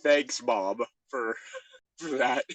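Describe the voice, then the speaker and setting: A man's voice: medium pitch, drawn-out words, creaky, a bit bright, dry recording. A man reading a story aloud and bantering casually, in an amused, exasperated way, stumbling now and then. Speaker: podcast co-host reading a fan fiction aloud.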